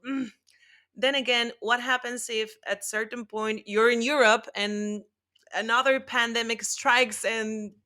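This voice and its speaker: A young woman with a Mexican Spanish accent, a native Spanish speaker, chatting as an interview guest, animated and laughing often. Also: a little high, a little slow. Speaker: guest actress. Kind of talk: casual interview chat.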